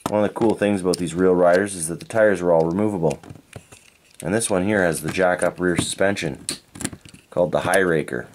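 A man from Canada reviewing toy cars in a relaxed, unhurried way, thinking aloud and correcting himself as he goes. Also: deep voice, a little hiss or hum. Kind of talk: unscripted hands-on toy review. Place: indoors at a tabletop diorama.

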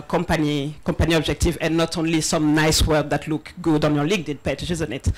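A woman's voice: on the low side, poor audio. Nervous woman speaker from Senegal. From Senegal, raised in France. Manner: nervous, laughing between points, many ums. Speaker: conference speaker on diversity hiring. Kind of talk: conference talk with slides. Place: large event space, partly open-air, with audience. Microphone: handheld microphone.

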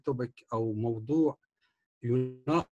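Man, engineer attendee, hesitant, mid pitch, video call. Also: normal speed, a little mellow, muffled recording. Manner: hesitant, many fillers, mixes in English terms. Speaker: engineer attendee making a suggestion. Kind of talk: earnest comment in an online meeting.

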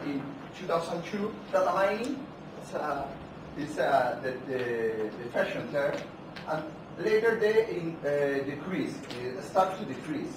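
A man with a Spanish accent lectures at a conference, pausing often on fillers and restarting phrases. He talks without a microphone in a large hall, so his voice reaches the camera from far off. Heavy reverberation and steady background noise surround every word. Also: on the high side. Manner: unamplified, halting with many fillers and restarts. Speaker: keynote speaker. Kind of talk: academic conference keynote. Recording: echoey hall from far off, noisy.

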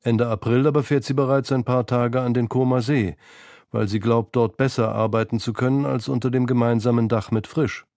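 Male narrator for a literary radio documentary, mid-pitched, soft dark tone, very dry close recording. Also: steady tone, moderate pace, dry recording. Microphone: close studio microphone.

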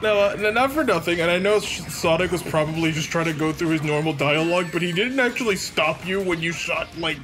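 Higher-voiced man riffing over game music. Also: brisk, hardly any pauses. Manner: sarcastic riffing, acts out mock dialogue. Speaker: let's play commentator. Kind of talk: casual joking game commentary. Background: video game music.

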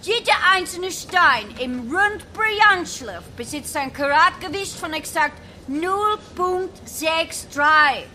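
Very high, bright auctioneer. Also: female voice, lively intonation, slow, hardly any pauses, a little hiss or hum, full character voice. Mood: ceremonious. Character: brisk Dutch female auctioneer calling bids. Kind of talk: German children's audio drama acting.

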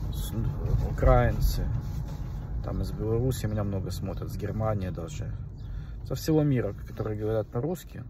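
A middle-aged man recording a rambling, preachy monologue to a phone in a car at night, with many fillers. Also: mid-range voice. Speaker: vlogger. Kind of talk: casual monologue to camera. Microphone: phone held at arm's length. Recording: phone mic, distant, faint car noise.

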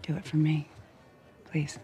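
A caring middle-aged American woman who leads a town in a video game. She is gentle but insistent, with faint music behind her voice. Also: deep voice, level tone, measured pace. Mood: firm, coaxing. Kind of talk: naturalistic video game acting.

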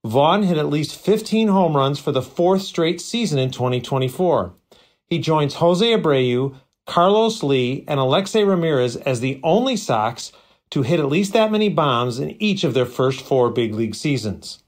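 Man, stats contributor, slightly high voice, dry list-like reading, wry asides. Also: animated, normal speed. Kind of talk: reading prepared stats segment.

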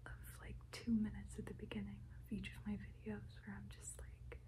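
A woman's voice: mid-range voice, monotone. A young woman rambling casually in an ASMR video, full of filler words. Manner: rambling, filler-heavy, unhurried. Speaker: ASMR creator.

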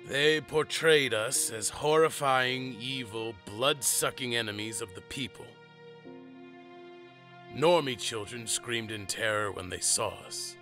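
Guy playing a monster dad in an audio drama, slow dramatic storytelling, bright clear voice, faint music behind. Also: mid-range voice. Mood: grave, bitter. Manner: measured, dramatic storytelling with pauses. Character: earnest monster father telling grim family history.

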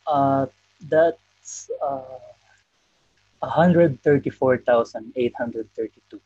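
Man, dark husky voice, pausing often, muffled call audio. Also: medium pitch, no room sound. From Philippines. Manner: soft-spoken, frequent "uh" pauses. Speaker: guest. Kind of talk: video call interview. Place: indoor room. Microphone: video call.

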